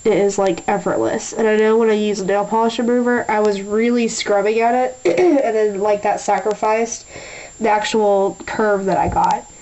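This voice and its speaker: A young woman talking through a nail tutorial in a chatty way, a little nasal from a sinus infection, often clearing her throat. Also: mid-range voice, fairly even intonation, normal speed, mellow, rough recording. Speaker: beauty vlogger. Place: small room at a table.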